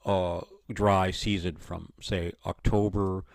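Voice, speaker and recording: A man with a loud voice chatting casually on a video call from his home in Japan, rambling a little and laughing often. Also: deep voice, little pitch movement, takes it slowly, vocal fry, clear, resonant tone. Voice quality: loud voice, by his own account. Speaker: show guest or host talking about his design work. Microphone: desk microphone on a video call.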